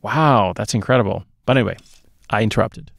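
A man's voice: on the low side, a little fast, vocal fry, no room sound. A middle-aged man hosting a podcast in casual movie chat, close to a microphone in a small studio. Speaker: podcast host. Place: small dark home studio, indoors. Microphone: close podcast microphone on boom arm.

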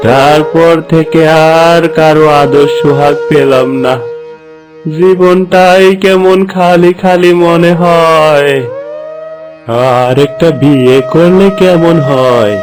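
A man voicing a lonely, lovesick old village widower in a cartoon, with a Bangladeshi Bengali accent and drawn-out delivery. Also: fairly high pitch, fairly even intonation, over music. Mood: wistful longing. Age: older. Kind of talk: cartoon moral story voice acting.

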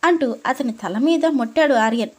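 Woman, high pitch, scripted storytelling, narrator. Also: a little fast, a little mellow.

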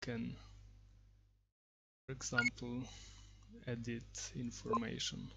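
Man, slow pace, gravelly creak, halting speech, unscripted screen demo. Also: medium pitch, monotone, very noisy. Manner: hesitant, halting. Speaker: app developer demonstrating software.

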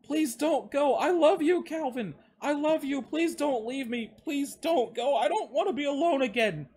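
A man's voice: extremely high pitch, normal speed, a little mellow, voice pushed up. A man voicing a wounded young man in an audiobook-style story, desperate and tearful, begging in a strained, cracking voice. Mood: tearful pleading. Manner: begging, gasping.

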